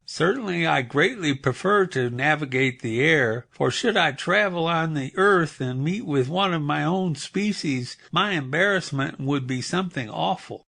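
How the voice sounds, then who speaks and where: Storybook creature, squeaky and gravelly, wordy and mournful, put on by a male narrator. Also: expressive, unhurried, few pauses, sharp tone, full character voice. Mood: resigned. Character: squeaky bewildered flying creature with a moose-like head.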